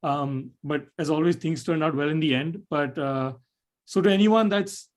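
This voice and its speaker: Young man, from Nepal, student panelist on a video call, rambling, slightly high voice. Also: darkish tone. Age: young adult. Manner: rambling, lots of fillers and restarts. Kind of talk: webinar answer, casual.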